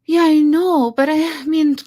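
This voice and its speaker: A woman's voice: high-pitched, fairly even intonation, measured pace, dark tone. A woman from Ukraine with a Ukrainian accent, a matchmaker talking casually with many fillers, restarts and laughs. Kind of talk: casual chat.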